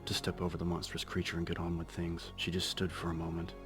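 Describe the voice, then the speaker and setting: Very deep male horror narrator. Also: completely flat delivery, quick pace. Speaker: audiobook narrator. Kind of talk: dramatic scripted horror narration. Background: music.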